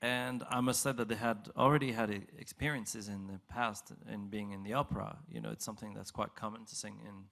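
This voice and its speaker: Man, gravelly, lowish voice, halting interview answers, non-native English speaker. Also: steady tone, fairly bright tone. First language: not English. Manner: halting, laughs between thoughts. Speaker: singer in a vocal group.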